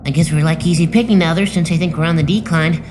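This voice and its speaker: Casting: woman as self-assured teen boy star, lowish female register, breezy, anime dub over music. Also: quick pace, lightly acted. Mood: matter-of-fact. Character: confident, breezy teenage boy idol, eager to shine. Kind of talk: anime fan dub acting. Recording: music under the voice.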